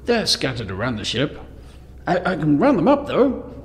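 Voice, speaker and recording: A man's voice: fairly high pitch, wildly swooping pitch, moderate pace. A man playing a hesitant, anxious older father in a tabletop sci-fi game, stammering and pleading as he talks. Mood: flustered, pleading. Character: hesitant, anxious older father fond of his droid friends. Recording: reverberant hall, faint background noise.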